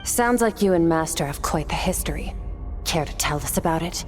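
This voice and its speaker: A woman's voice: lowish voice, lively intonation, moderate pace, music in the background, natural voice. An actress playing a cool, reserved young swordswoman in a video game, matter-of-fact and restrained. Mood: cool, curious. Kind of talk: dramatic video game voice acting.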